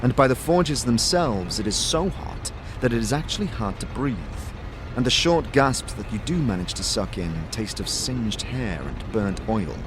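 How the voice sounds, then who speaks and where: Animated male fantasy narrator over music. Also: medium pitch, moderate pace. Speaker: narrator of an audio drama. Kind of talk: dramatic second-person scripted narration.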